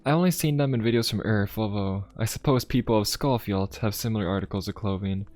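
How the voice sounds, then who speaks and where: A man playing a courteous, inquisitive young pope of a steampunk fantasy world in an ASMR roleplay, speaking politely, formally and in a gently musing way. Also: fairly low pitch, quick pace, natural voice. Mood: courteous, explaining. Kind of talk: ASMR roleplay addressed to the listener. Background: music.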